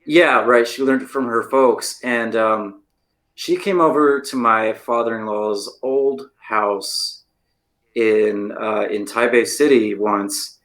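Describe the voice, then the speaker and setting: American dude cohosting a podcast, easygoing storyteller, says "like" constantly. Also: male voice, mid-range voice, slow, fairly bright tone. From United States. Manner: chatty, storytelling, lots of "like" and "right?". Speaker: podcast co-host. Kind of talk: casual chat. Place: lives in Taiwan.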